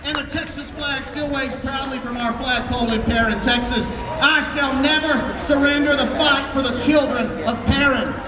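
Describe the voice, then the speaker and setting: Echoing, high-pitched American man giving rally oratory. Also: fairly even intonation, normal speed. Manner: declamatory, emphatic, projecting loudly with dramatic phrasing. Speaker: school superintendent speaking at a rally. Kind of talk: impassioned political rally oratory. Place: outdoors on capitol steps before a large crowd. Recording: echoey outdoor PA, distant mic, noisy crowd. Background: loud crowd and ambient noise.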